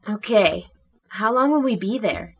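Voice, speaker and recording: A woman playing a calm, reassuring member of a cartoon animal team, speaking gently in an amateur radio drama. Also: medium pitch, measured pace, slight background noise. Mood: calm, questioning.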